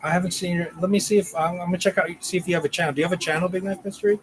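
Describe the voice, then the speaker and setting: A man's voice: sits high, steady tone, very fast, a little hiss or hum, rough recording. A man hosting a casual livestream, rambling with restarts and reading out viewer names. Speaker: channel host.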